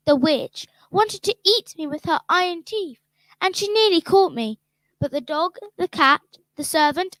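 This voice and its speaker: A woman's voice: sits high, no echo, low-quality recording. An actress playing an earnest young girl who eagerly tells of her escape in a children's radio play. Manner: eager, excited storytelling.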